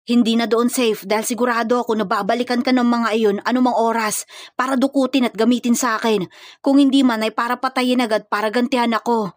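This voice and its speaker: A woman's voice: on the high side, steady tone, a little fast, no echo, studio quality, close to an everyday voice. Woman playing a serious, commanding young hitman. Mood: guarded, serious. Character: serious, protective young male hired killer. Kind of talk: dramatized audio romance story.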